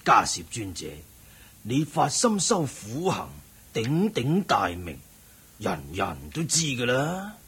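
Husky, lofty old nobleman. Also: medium pitch, very animated, a bit bright, full character voice. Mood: genial, patronising. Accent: Hong Kong Cantonese. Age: older. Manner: measured, preaching. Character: eminent wealthy old layman, lofty lecturing debater. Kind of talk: Cantonese Buddhist radio drama acting.

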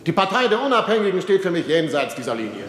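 An actor playing a male chancellor making a measured, formal speech in a radio drama. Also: high-pitched, sing-song. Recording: roomy hall with long reverb.

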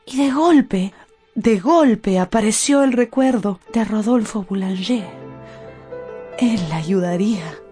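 A woman's voice: medium pitch, takes it slowly, warm tone, soft background music. A woman narrating a radio drama from a script in a theatrical, emotive storytelling manner.

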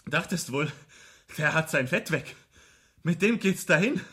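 Shrill, raging wounded soldier. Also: male voice, animated, a little slow, bright, forceful tone, slightly rough, exaggerated voice. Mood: furious, accusing. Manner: ranting, accusing, stammering in rage. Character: furious feverish wounded soldier in a field hospital. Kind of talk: radio play acting.

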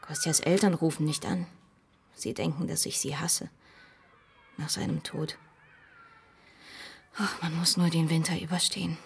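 An actress playing a weary, grieving young widowed mother in a radio drama, quiet and resigned. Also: low voice, fairly even intonation, a little slow, creaky, pauses often, slight background noise. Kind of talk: German radio drama acting.

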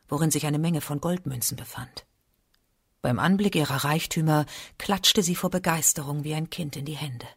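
A woman's voice: low-pitched, creaky, slightly rough, no echo, studio quality. A woman narrating a literary radio drama as a storyteller.

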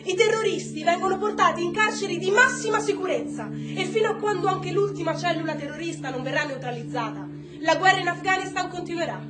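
An actor as a condescending high-pitched government secretary, echoey. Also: male voice, fast talker, loud music behind the voice. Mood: official. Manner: condescending, evasive, formal. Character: curt, dismissive male US government secretary at press briefing. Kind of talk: stage-style radio drama acting.

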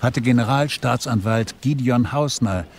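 An actor playing a reflective narrator who recalls events in a measured, first-person storytelling style. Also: male voice, medium pitch, gravelly, slight background noise.

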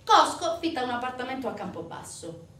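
A woman performing a dramatic theatrical monologue, intense and emphatic, swinging from hushed to loud. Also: sits high, very animated, a little fast. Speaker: stage actress narrating a monologue. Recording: roomy, distant stage recording with noise.

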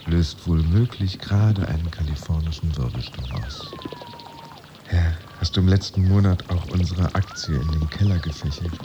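An actor playing a bewildered, brooding jobless office worker in a musing, hesitant radio play monologue. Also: male voice, low voice, background noise. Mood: wry, questioning. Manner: musing, hesitant, addressing himself. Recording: slightly muffled, music underneath. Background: music.

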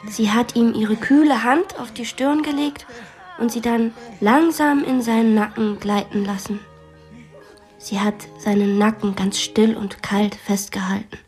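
A high girlish voice played by a woman tells a macabre make-believe story in a radio drama. She is earnest, imaginative and bossy. Faint music sits behind her. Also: steady tone, a little slow, a little mellow, natural voice. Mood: hushed, macabre. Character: imaginative, bossy young girl telling a macabre hospital game.

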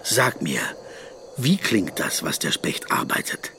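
An actor in a radio play voicing a pensive, word-obsessed lawyer lost in reverie, speaking in halting, intimate, poetic musings. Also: male voice, deep voice, big pitch swings, bright, forceful tone, faint music behind, full character voice. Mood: earnest.

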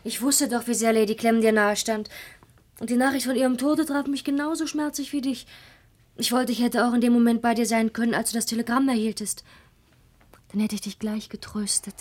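Woman playing a young fiancée, radio drama, highish breathy voice, tender, devoted, earnest. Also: steady tone, brisk, a little mellow, natural voice. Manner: affectionate, earnest. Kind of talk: German radio play acting.

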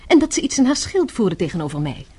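An actress playing a composed, tough-minded young wife in a radio drama, explaining herself articulately. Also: female voice, mid-range voice, sing-song, fast, a little mellow, breathy edge. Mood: confiding, assured. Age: young adult. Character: self-possessed, tough-minded young wife explaining her undercover scheme.

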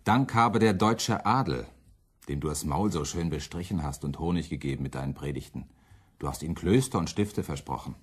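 A deep-voiced, husky radical preacher in a radio play. He is fiery and defiant, championing the hungry with accusatory, biting rhetoric. Scorn runs through his speech. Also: expressive, normal speed, exaggerated voice. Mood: scornful, accusing. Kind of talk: German radio play acting.

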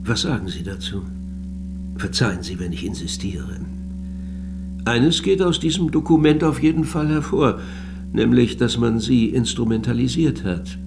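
An actor playing a polite, persistent researcher in a radio drama, measured and probing as he questions someone. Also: mid-range voice, lively intonation, gravelly, music in the background. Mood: politely insistent. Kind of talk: German radio drama acting.

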